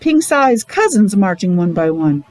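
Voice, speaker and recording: A woman reading a picture book aloud to young children, expressive, adding explanations and questions along the way. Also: medium pitch, moderate pace. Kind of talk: animated read-aloud storytelling with asides to young children.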